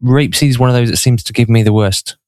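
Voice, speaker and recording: A man chatting in a thoughtful, measured way, with fillers as he searches for words. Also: medium pitch, a little mellow, dry recording, clean studio sound. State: hay fever. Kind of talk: casual conversation.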